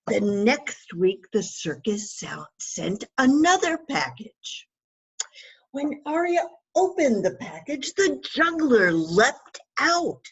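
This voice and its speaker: Female children's storyteller, husky tone, very animated intonation, unhurried delivery with dramatic emphasis. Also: fairly high pitch, low-quality recording. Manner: dramatic emphasis with asides to young listeners. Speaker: children's author reading aloud.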